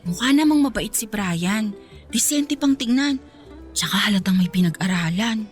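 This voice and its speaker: A woman's voice: medium pitch, expressive, normal speed, faint music behind, close to an everyday voice. An actress playing a smitten, naive young provincial woman in a radio romance drama, girlish and giggly in manner. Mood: defensive, smitten.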